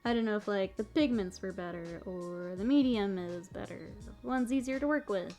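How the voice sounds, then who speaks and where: A woman's voice: mid-range voice, normal speed, warm tone, faint music behind. Chatty woman streaming while she paints. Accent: mixed northern US and Texas. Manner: chatty, reads chat aloud, laughs often. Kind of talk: casual livestream chat while painting.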